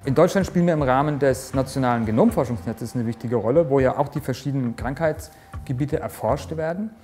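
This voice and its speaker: A man's voice: mid-range voice, fairly quick, dark tone, faint music behind. A man in his forties, a research director, explaining his work in an interview with earnest restarts and fillers, recorded in an office on a lapel mic. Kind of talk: interview statement. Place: office with microscope, indoors.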